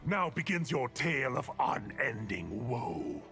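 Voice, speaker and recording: An actor playing a grandiose evil dark sorcerer in a video game, talking with theatrical menace. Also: male voice, medium pitch, very animated, measured pace. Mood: sinister. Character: evil male Netherrealm sorcerer, sinister and grandiose. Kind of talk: video game character dialogue. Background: game music.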